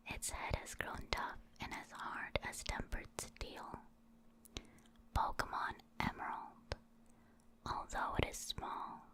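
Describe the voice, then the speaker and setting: A woman whispering as she reads short reference entries aloud in an ASMR style. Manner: whispering, slow and deliberate. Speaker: ASMR creator reading aloud.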